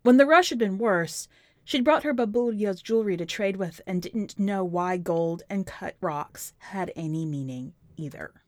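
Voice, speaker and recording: A woman's voice: mid-range voice, animated, moderate pace, a little mellow, no room sound. Woman reading fiction, close dry sound. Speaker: podcast host reading a short story. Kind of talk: scripted literary narration.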